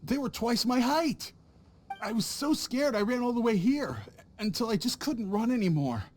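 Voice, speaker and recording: A man's voice: extremely high pitch, expressive, normal speed, darkish tone, slight background noise. A man voicing a nervous traveling merchant who stammers and fumbles his words, overacting his panic. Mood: flustered. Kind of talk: video game voice acting.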